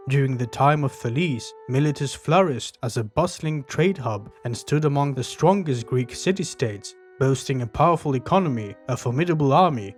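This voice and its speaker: Male documentary narrator, mid-pitched, even and measured delivery, soft music underneath. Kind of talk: scripted history narration. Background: faint background music.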